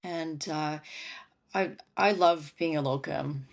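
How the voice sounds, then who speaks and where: A woman who grew up in Syria and Lebanon talks as a guest in a casual interview. Her voice sits fairly low for a woman. She speaks through a home computer mic on a video call and laughs often between her words. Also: slow, creaky. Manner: chatty, laughs often while talking. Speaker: guest, veterinarian and stand-up comic.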